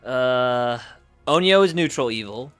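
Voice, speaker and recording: A man streaming casually, thinking aloud in a musing, hesitant way with pauses mid-thought. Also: mid-range voice, lively intonation, fairly bright tone, clear, resonant tone, faint music behind.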